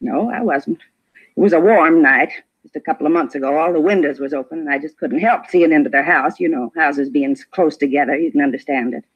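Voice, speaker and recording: An actress playing a prickly, self-important neighborhood gossip in a 1950s radio drama, huffy and argumentative in clipped, emphatic phrases. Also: female voice, deep voice, a little fast, husky. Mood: gossipy, indignant. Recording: dull vintage radio recording.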